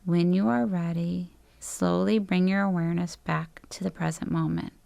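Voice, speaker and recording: A woman leading a scripted guided meditation in a calm, soothing, gentle way, with long pauses and soft phrase endings. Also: on the low side, creaky, no room sound.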